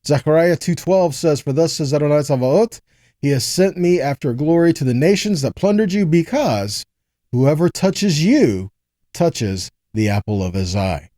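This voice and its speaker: A man's voice: a little high, animated, moderate pace, mellow, dry recording, studio quality. A man giving a conversational Bible teaching, reading verses aloud and explaining them earnestly, often checking in with short rhetorical questions.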